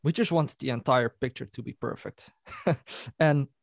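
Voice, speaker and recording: A man's voice: medium pitch, animated, measured pace, creaky, no room sound, clean studio sound. A Dutch-speaking man in a band, telling a story in a casual interview and laughing as he goes. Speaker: band member.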